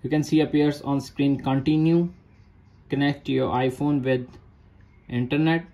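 A man's voice: medium pitch, steady tone, measured pace, warm tone. A man narrating a phone tutorial in short, repeated step-by-step instructions in non-native English. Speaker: tech tutorial host.

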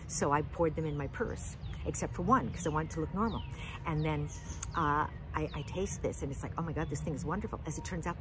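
An older woman telling funny food stories in a chatty, animated way, filmed outdoors in the woods on a close phone camera. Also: sits low, slight background noise. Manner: chatty, animated, with lots of fillers and jokes. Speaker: vlog host. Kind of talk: casual comic storytelling.

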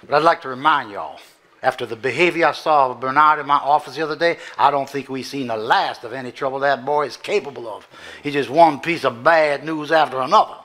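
A man's voice: medium pitch, brisk, bright, forceful tone, lightly acted. A man playing a folksy, businesslike small-town lawyer with a Southern US accent on a theater stage. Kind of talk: live stage acting. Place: theater stage before audience.

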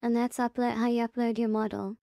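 A woman presenting a casual, step-by-step software tutorial in a plain-spoken, instructional way. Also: on the high side, flat delivery, a little fast, gravelly, no room sound, clean studio sound.

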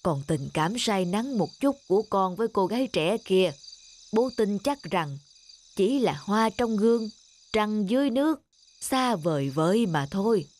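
A woman voicing a grave, kindly elderly father in a cartoon, giving measured counsel. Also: mid-range voice, lively intonation, a little mellow, slight background noise, lightly acted. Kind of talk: scripted cartoon dialogue.

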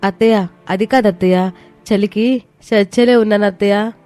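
A woman voicing a young daughter-in-law in a family story, plaintive, argumentative and quick to talk back. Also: medium pitch, fairly even intonation, a little mellow, dry recording, close to an everyday voice. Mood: whining. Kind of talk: dramatized moral story voice acting. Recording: music behind the voice.

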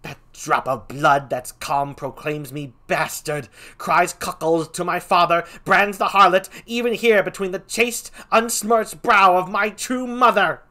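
A man voicing an enraged young nobleman out for revenge, with a rascally, raspy voice, shouting fiercely. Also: mid-range voice, lively intonation, slow pace, full character voice. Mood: furious.

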